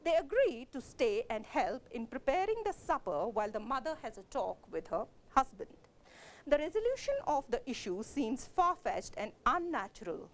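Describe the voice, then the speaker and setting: A woman with a Kashmiri accent giving a literature lecture as a college lecturer, explaining steadily with frequent fillers and self-corrections. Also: fairly high pitch.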